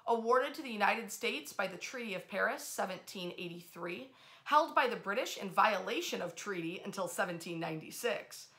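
A woman in her thirties hosting informal history storytelling to camera, with emphatic, expressive delivery. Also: mid-range voice, normal speed. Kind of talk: informal storytelling to camera, part read aloud. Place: small bedroom, indoors.

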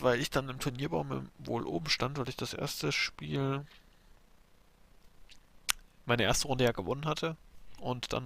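A man with a bad cold commentating a replay of his own strategy game match, explaining his moves in a relaxed way with fillers. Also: medium pitch, creaky.

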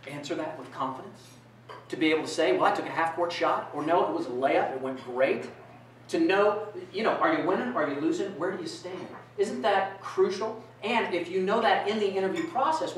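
A man's voice: high-pitched, distant mic, slight background noise. A middle-aged man giving an interactive keynote talk in a conference room, asking the audience questions. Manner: animated, asks the audience questions. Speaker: keynote speaker. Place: conference room with projector screen, indoors. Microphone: far camera, no mic. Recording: echoey room from afar.

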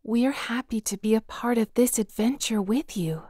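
Woman as a mellow, polite young cat. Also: fairly high pitch, normal speed, no echo, studio quality, lightly acted. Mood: polite, warm. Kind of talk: fan audio drama acting.

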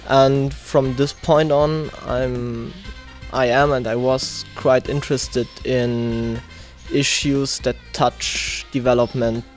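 A young man from Germany, a conference participant, speaking in a halting way. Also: medium pitch, steady tone, soft background music. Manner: slow, halting.

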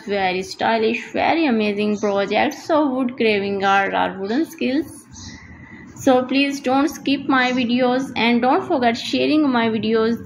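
Creaky-voiced woman hosting a slideshow. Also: fairly high pitch, slight background noise, rough recording. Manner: repetitive, enthusiastic promotional phrasing; non-native, simple English. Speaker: channel host. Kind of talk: voice-over for a slideshow.

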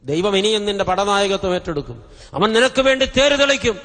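Middle aged priest guy preaching hard, really high voice, repeats phrases for punch, big echoey hall pa. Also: expressive, brisk, pushed and bright. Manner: impassioned, exhorting, emphatic with repeated phrases. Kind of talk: fervent revival sermon. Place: convention stage at a podium. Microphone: stand microphone close to mouth. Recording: reverberant hall sound system.

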